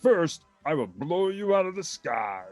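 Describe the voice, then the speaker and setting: A man's voice: a little high, big pitch swings, a little slow, background noise, voice pushed up. A man voicing a brutish, hot-tempered alien barbarian villain in a children's storybook, blustering and threatening. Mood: furious.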